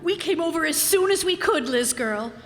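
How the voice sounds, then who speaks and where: A woman's voice: high-pitched, lively intonation, moderate pace. An actress playing a wisecracking wife who dryly teases her husband in a radio comedy. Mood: teasing. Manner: dry, teasing. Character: wisecracking, teasing wife of a hammy older husband. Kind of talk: radio sitcom acting. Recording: hall reverb, faint noise.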